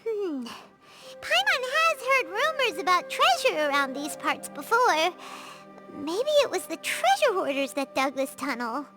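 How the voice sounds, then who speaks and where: A woman voicing a tiny childlike floating game companion, chirpy, excitable and easily spooked. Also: very high-pitched, a little slow, over loud music, exaggerated voice. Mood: curious. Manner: refers to self in third person, exclamatory. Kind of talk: video game voice acting.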